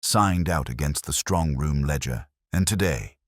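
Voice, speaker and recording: A man voicing a courteous, unhurried police inspector in a storybook mystery, questioning politely and with measure. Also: very deep voice, animated, no echo, studio quality, exaggerated voice. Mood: calm, inquiring.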